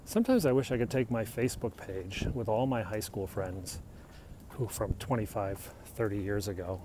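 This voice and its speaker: A middle-aged man from the United States chats casually on a handheld phone as he walks outdoors, answering viewers as he goes. His voice sits a little low. Steady outdoor noise runs under the talk. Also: moderate pace. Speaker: livestreamer. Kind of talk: casual live-stream chat while hiking. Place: outdoors on a hilltop walk. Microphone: phone held while walking. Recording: noisy outdoor phone recording.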